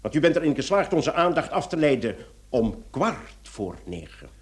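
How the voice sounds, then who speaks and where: An excitable police commissioner in a radio drama. His fairly high voice swings widely as he grumbles and exclaims. He is blustering, theatrical and quick to take offence. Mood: stern, interrogating. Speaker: actor in a radio play. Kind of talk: Dutch-language radio play acting.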